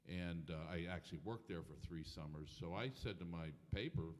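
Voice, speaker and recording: An older former sportswriter from Pennsylvania, telling a personal anecdote on a panel in a measured way. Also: male voice, deep voice. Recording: hall reverb from a lecture room.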